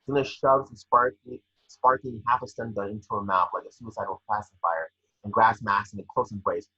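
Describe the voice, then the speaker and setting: A man's voice: fairly low pitch, fairly even intonation, a little fast, slightly rough, dull audio. A man narrating screenplay action in an amateur table read, occasionally stumbling over the script. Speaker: scene-description reader.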